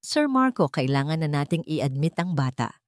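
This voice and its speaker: A woman's voice: sits low, sing-song, normal speed, no echo, clean studio sound. A woman voicing a grave, frank male pediatrician in an audio story, explaining formally. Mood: urgent, serious.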